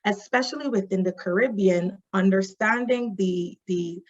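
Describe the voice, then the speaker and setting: A Barbadian woman talks through her points on a webinar in a voice of middling pitch, often checking in with her listeners. The video call audio sounds slightly dull and lo-fi. She is a social worker addressing other professionals. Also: moderate pace. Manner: explanatory, often adds "right?" and "you know". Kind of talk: webinar presentation.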